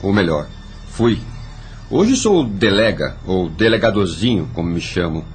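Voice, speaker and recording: A man's voice: deep voice, sing-song, a little slow, soft background music. A man playing a dead, corrupt Brazilian police chief, authoritative and full of probing questions, in an audio drama. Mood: probing, wry.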